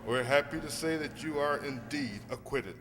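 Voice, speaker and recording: An actor playing an earnest, dogged defence barrister with formal, oratorical delivery in a radio play. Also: male voice, mid-range voice, normal speed, natural voice. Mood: reassuring. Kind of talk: courtroom radio play acting. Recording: hall reverb with faint hum.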